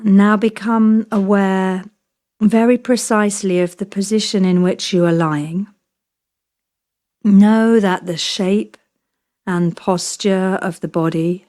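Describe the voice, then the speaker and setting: Slow-paced woman guiding deep relaxation. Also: fairly even intonation, mellow. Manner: soothing, measured, with long pauses between short phrases. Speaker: yoga nidra teacher. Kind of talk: guided relaxation meditation.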